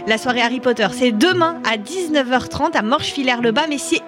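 A woman reads local event listings on a morning radio show. Her voice sits a little high and her delivery is brisk and informative. Soft music runs beneath her. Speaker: radio co-host.

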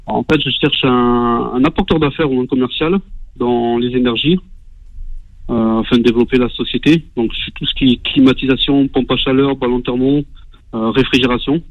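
A man's voice: medium pitch, moderate pace. Southern-accented male caller, muffled. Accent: southern French. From Bordeaux, France. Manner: relaxed, casual, lots of fillers. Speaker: caller, business owner recruiting. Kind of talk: phone call-in to a radio show. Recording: muffled phone line on radio.

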